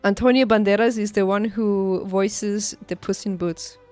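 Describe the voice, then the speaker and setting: A woman's voice: medium pitch, steady tone, unhurried, darkish tone. Woman streamer chatting casually over music. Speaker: video game streamer. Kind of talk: casual livestream chat with viewers.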